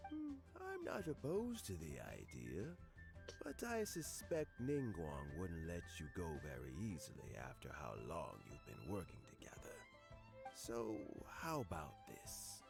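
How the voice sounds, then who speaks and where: An actor playing a frail, courteous old male statesman in a video game, speaking in a measured, formal way. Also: low voice, wildly swooping pitch, creaky, exaggerated voice. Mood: accommodating. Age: older. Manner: measured, formal, gently explanatory. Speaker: game character. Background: game soundtrack music.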